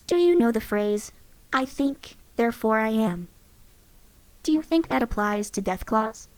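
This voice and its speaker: A woman voicing a wry, thoughtful female companion in a game, speaking in a calm, measured way. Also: on the high side, low-quality recording. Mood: wry musing. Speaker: voice actress for a game companion mod.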